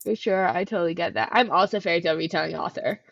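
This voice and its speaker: A woman's voice: fairly low pitch, rapid-fire, vocal fry, no echo. A woman co-hosting a podcast, chatting casually and informally with some slang. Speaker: podcast co-host, author. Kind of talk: casual interview chat. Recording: dull, slightly lo-fi microphone.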